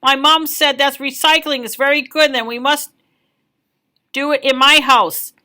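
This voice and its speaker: A woman's voice: high-pitched, fairly even intonation, normal speed, sharp tone, pitched above the performer's own voice. A woman voicing a restless little child who chatters through story time.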